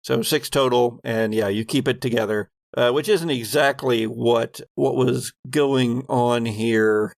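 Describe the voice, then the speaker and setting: Gravelly man running a tabletop game. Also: medium pitch, fairly even intonation, a little slow, hardly any pauses, no echo. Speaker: game master. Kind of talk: improvised tabletop game narration.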